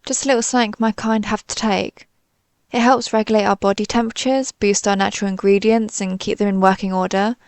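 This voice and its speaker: Woman acting a pompous, gravelly alien invader. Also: medium pitch, no room sound, close to an everyday voice. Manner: haughty, theatrical, formal villain phrasing. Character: pompous female pizza-shaped alien invader, easily flustered. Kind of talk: scripted audio roleplay monologue.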